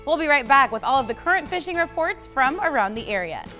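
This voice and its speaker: A young woman reading a fishing forecast in a TV studio in a crisp, scripted way. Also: high-pitched, big pitch swings, fast, sharp tone, faint music behind. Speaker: studio presenter.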